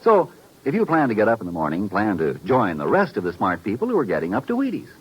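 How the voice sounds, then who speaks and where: Swooping, folksy American radio pitchman. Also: huge pitch swings, fast talker, breathy edge. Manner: folksy, exuberant sales patter. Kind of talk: 1950s radio advertising. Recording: dull, band-limited 1950s radio recording.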